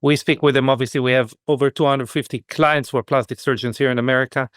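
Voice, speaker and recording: This is a man speaking as a guest on a podcast, measured and thoughtful, often stopping and restarting as he hunts for the right word. His voice sits at a middle pitch for a man, recorded close and dry without any room echo. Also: steady tone, a bit bright, smooth. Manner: thoughtful, halting, many restarts while searching for words. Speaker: podcast guest, skincare business expert. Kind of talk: conversational interview.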